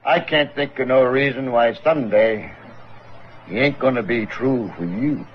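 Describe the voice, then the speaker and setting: An actor playing a fearful, broken Old West gunfighter with an American accent and halting, folksy speech, in a 1950s radio western. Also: male voice, medium pitch, close to an everyday voice. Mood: bitter resignation. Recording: muffled old radio recording, faint noise.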